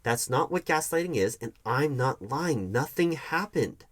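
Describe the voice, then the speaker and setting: Defensive, stammering man acting as a ex-boyfriend, swooping pitch. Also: animated. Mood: defensive, exasperated. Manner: argumentative, halting with repeated, stammered words. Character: defensive, evasive male hiring manager arguing with his ex. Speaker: roleplay performer. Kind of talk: one-sided audio roleplay acting.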